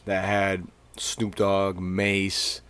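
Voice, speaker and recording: A man's voice: sits low, monotone, drawn-out words, a bit bright, smooth. A man in his twenties from Maine, hosting a podcast in loose, slangy casual chat. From Maine, USA. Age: 20s. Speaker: podcast host.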